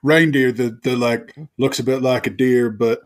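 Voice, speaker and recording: Deadpan man bantering at a tabletop game. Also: mid-range voice, moderate pace. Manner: deadpan, teasing. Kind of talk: casual improvised banter.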